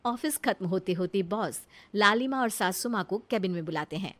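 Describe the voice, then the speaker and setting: A woman's voice: mid-range voice, animated, moderate pace, fairly bright tone. A woman narrating a scripted comic cartoon story as its storyteller.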